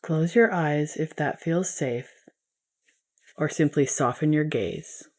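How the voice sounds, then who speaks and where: A woman leading a guided yoga nidra meditation as a teacher, giving gentle, soothing instructions. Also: sits low, slow pace.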